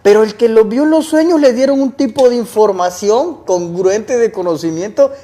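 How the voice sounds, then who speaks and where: A man's voice: high-pitched, normal speed, mellow. Earnest man telling his story. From El Salvador. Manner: earnest, explains with rhetorical questions. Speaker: guest. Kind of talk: casual interview storytelling.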